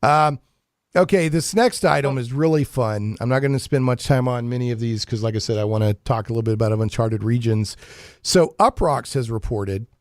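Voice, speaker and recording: A man hosting a casual geek-news talk show, chatting in a jokey, animated way with playful emphasis. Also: mid-range voice, moderate pace, no echo. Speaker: radio talk show host.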